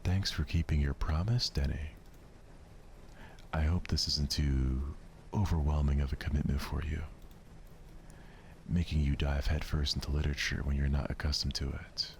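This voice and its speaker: A man voicing a poised, polite teenage schoolgirl from a visual novel, courteous and gently teasing in soft ASMR style. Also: very deep voice, steady tone, normal speed, creaky, pauses often, slight background noise.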